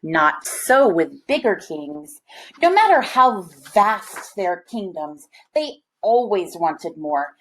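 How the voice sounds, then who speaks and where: A woman reading a picture book aloud to children, with expressive, deliberate phrasing. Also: on the low side, a little hiss or hum, rough recording. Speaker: storybook reader. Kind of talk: read-aloud storytelling for children.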